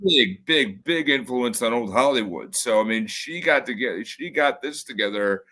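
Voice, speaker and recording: A man with a bassy voice, a podcast guest in casual conversation, halting with many fillers and restarts. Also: lively intonation, bright, forceful tone.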